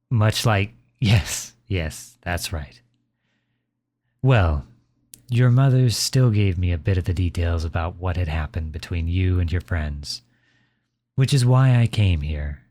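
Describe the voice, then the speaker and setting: A man playing a gentle, caring middle-aged father in an intimate ASMR roleplay, speaking tenderly and reassuringly to his child. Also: sits low. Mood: proud reassurance. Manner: tender, reassuring, softly chuckling.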